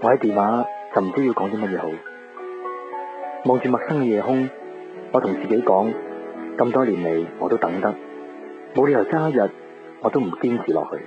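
A man's voice: on the low side, a little fast, long pauses, natural voice. An actor playing a lovelorn, patient young man, narrating his thoughts with restraint in a radio drama. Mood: brooding, longing. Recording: dull radio recording with music bed.

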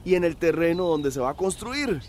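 An actor playing a knowledgeable village well builder in a radio drama, explaining methodically. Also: male voice, a little high, lively intonation, moderate pace, darkish tone, a little hiss or hum, lightly acted. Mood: instructive.

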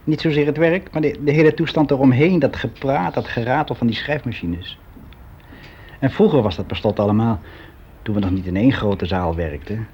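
An actor playing a weary, resigned office clerk around sixty, confiding in a halting, reflective way in a naturalistic radio play. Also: male voice, mid-range voice, a little mellow, breathy edge, natural voice. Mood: weary, complaining. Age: 60s. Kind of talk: naturalistic radio drama monologue. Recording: dull, noisy radio play recording.

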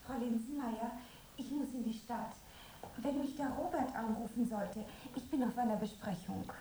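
A woman playing a young aspiring actress in a radio play, dreamy, wistful and proud, with breathy theatrical musing. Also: a little high, normal speed, mellow, a little hiss or hum, close to an everyday voice, rough recording. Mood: polite, brisk. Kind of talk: radio play monologue and dialogue.

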